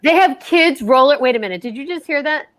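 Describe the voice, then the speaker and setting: A woman hosting a live online show in an excited, chatty way, gushing and exclaiming as she goes. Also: high voice, brisk, over a noisy crowd. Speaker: host of a live radio-play show.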